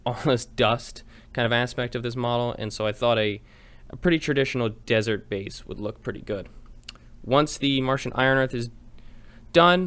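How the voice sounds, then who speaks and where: A man's voice: medium pitch, clear, resonant tone. A man narrating a step-by-step tutorial in an informal, chatty, explanatory way. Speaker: host of a miniature painting tutorial.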